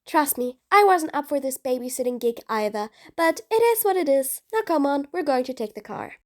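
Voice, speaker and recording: Female supervillain for audio roleplay, played by a woman: high voice, sarcastic, mean yet patient, grudgingly soft. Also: expressive, moderate pace. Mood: wry, menacing. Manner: sarcastic, dry, exasperated. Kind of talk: audio roleplay acting addressed to the listener.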